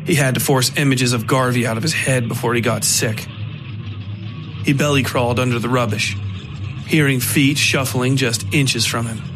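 A man reading scripted dark fiction as the narrator of a horror podcast. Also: mid-range voice, steady tone, moderate pace, poor audio. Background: music.

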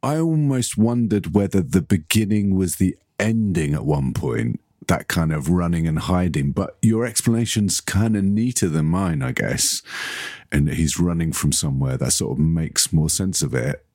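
Very deep, husky, musing man. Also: expressive, moderate pace, darkish tone, no echo, studio quality. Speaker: podcast co-host. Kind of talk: casual discussion of an audio drama.

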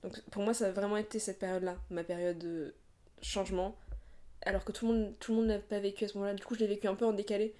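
Mellow-voiced young woman chatting. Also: medium pitch, level tone, quick pace. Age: 20s. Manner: reflective, rambling with many fillers. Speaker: podcast co-host. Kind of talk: casual chat.

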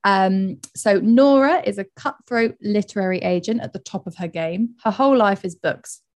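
Lively woman co-host, casual chat, summarizes plots. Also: mid-range voice, normal speed. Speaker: podcast co-host.

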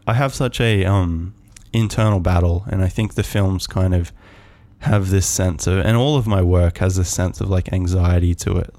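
A man hosting a podcast, musing on his own in a calm, soft voice, rambling with many fillers and restarts. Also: sits low. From Australia. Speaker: podcast host. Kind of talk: casual solo musing.

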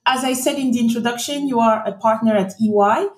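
A woman's voice: on the high side, a little fast, darkish tone. A woman hosting a podcast, giving a polished, scripted introduction and interviewing a guest. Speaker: podcast host. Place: remote call. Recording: roomy remote recording.

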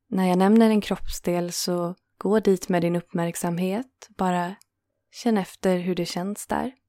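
A woman guiding a yoga nidra relaxation in a calm, soothing manner, leaving gentle pauses between phrases. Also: fairly low pitch, no room sound, clean studio sound. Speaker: meditation guide and host.

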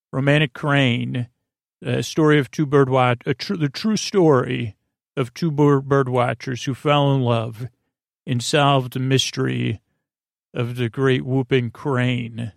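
A man hosting a bedtime podcast in a murmuring drone, meandering and halting as he stammers and repeats words. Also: mid-range voice, vocal fry, dry recording, clean studio sound. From United States. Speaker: podcast host. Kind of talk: rambling bedtime podcast monologue.

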